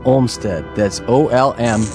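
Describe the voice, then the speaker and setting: An actor playing an easygoing, self-assured bus driver in a radio drama, matter-of-fact in delivery. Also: male voice, mid-range voice, slow pace, natural voice. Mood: certain. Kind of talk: vintage-style radio drama acting. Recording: prominent music behind the voice.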